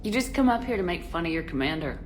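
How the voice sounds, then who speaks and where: An actress playing a steady, frank military commander in a video game, direct and composed with dry teasing. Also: female voice, on the low side, quick pace, slight background noise. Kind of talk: conversational video game acting.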